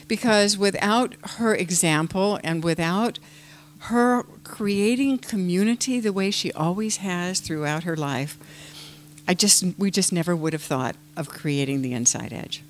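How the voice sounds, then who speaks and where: A woman's voice: lowish voice, moderate pace, a little hiss or hum. Effusive woman introducing a speaker. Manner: effusive and admiring. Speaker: host introducing a guest.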